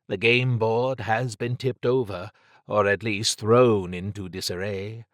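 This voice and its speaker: A man voicing a worldly, lazily amused woman thief who speaks in formal, measured phrases. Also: lowish voice, steady tone, fairly bright tone, no echo, studio quality. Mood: cryptic.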